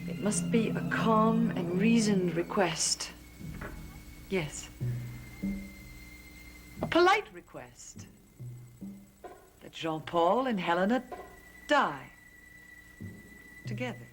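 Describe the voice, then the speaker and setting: A woman's voice: mid-range voice, big pitch swings, slow pace, long pauses. An actress playing a jealous, vengeful young woman in a 1970s TV soap opera, brooding and intense. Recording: music score behind voice.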